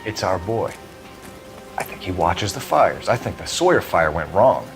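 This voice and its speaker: A man's voice: deep voice, very animated, moderate pace, faint music behind. An actor playing a terse, brooding American detective in his thirties in a 1940s crime video game. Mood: certain, brooding. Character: intense, cerebral young male 1940s police detective.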